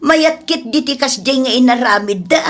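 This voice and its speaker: A woman's voice: high-pitched, moderate pace. A woman playing a blunt, scolding villager in an Ilocano radio drama, with an Ilocano Filipino accent. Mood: blunt, chiding.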